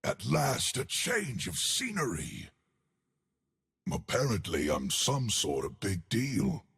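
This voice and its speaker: An actor playing a huge, ancient living stone colossus for a video game, with a gravelly, husky voice and hearty, simple-minded bravado. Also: male voice, fairly low pitch, huge pitch swings, takes it slowly, fairly bright tone, exaggerated voice. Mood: proud, amiable. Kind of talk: video game character voice lines.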